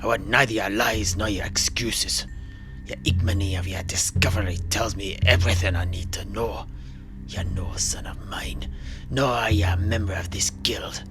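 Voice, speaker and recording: A man's voice: on the low side, steady tone, normal speed, lightly acted. A man voicing a wrathful, domineering old dwarf guildmaster in a fantasy story, imperious and scornful, over music. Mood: cold contempt. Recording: music bed behind the voice.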